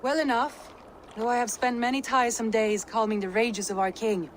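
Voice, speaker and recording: A woman's voice: a little high, steady tone, moderate pace, slight background noise, lightly acted. An actress voicing a composed, formal female advisor in a video game cutscene. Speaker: game character.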